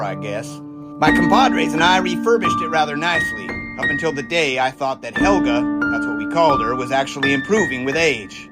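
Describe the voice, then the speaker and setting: An actor playing a cheerful, eager American test pilot of the 1920s in an audio drama, with a folksy, teasing manner. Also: male voice, on the high side, close to an everyday voice. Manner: folksy, jaunty, enthusiastic. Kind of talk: period audio drama acting.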